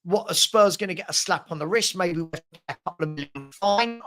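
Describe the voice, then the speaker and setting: A man's voice: sits high, fairly quick, pushed and bright, soft background music. A man in his forties hosting a casual football fan livestream, chatting matily with viewers and often repeating words as he thinks aloud. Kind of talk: casual live chat reading and answering viewer comments.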